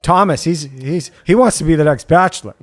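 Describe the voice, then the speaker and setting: A man with a voice a little higher than average, chatting as a podcast co-host. He is casual and blunt, with a wry comedic slant on the TV show being recapped. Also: brisk.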